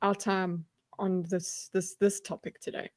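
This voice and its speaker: A woman's voice: medium pitch, level tone, a little slow. A woman hosting a casual livestream panel chat in a playful, mock-serious way. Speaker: panel show host.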